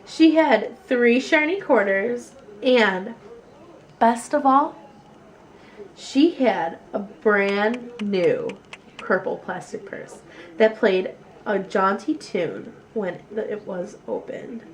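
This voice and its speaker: A woman storyteller reading a children's picture book aloud with expressive, deliberate phrasing. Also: medium pitch, low-quality recording.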